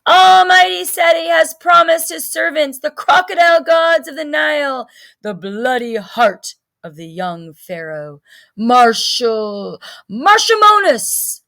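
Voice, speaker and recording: A woman's voice: sits high, slow pace, gravelly, voice pushed up, exaggerated voice. Woman putting on a theatrical, swooping, imaginative bossy girl. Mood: dramatic chanting. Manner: theatrical, excitable. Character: bossy imaginative girl chanting as dramatic high priestess.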